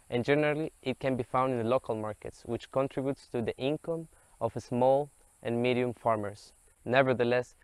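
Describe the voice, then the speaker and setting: A young man, reading a scripted video narration in a steady, explanatory way. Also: medium pitch, clear, resonant tone, dry recording. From El Salvador. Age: young adult. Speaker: student presenter.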